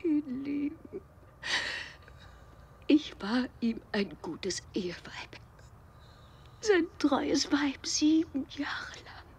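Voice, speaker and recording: A woman acting a desperate, frightened widow in a German radio drama, breathy and halting, often dropping into intense whispers. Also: high-pitched, sing-song, darkish tone, a little hiss or hum, exaggerated voice. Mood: bitter, intense. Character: desperate, frightened widow fleeing after a death.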